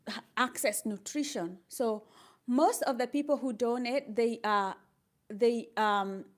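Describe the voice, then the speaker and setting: An older woman with a Kenyan accent, chatting casually and encouragingly with viewers on a live stream, laughing often. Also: a little high. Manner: upbeat and encouraging, reads out chat comments, laughs often. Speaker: livestreamer.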